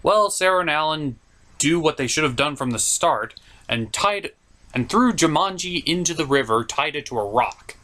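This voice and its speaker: Animated, higher-voiced man reviewing movies. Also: normal speed. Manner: theatrical, sarcastic delivery with mild swearing. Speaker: host of a puppet movie review show. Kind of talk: comedic scripted movie recap and review.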